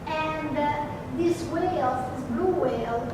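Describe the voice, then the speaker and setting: A high-voiced woman with a strong Italian accent tells personal stories about her research work. She pauses often on hesitant fillers, and her voice comes from far off, echoing through a large hall over steady background noise. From Italy. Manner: hesitant, frequent "uh" fillers, lively storytelling. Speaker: marine biologist giving a talk. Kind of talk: personal storytelling talk. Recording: echoey hall, distant mic, background noise.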